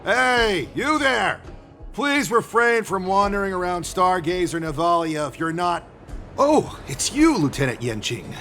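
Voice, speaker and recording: A high, very animated male voice for a game's shipyard worker. He comes across as fussy and busy, polite yet preoccupied, over faint music. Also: a little slow, heavily acted. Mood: startled. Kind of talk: video game voice acting.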